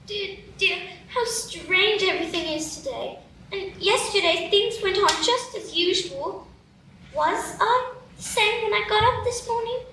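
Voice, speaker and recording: Woman as curious young girl, very high, bright, roomy echo, distant mic. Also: expressive, unhurried, slight background noise, full character voice. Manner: wondering, apologetic, chatty. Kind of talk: live radio play acting.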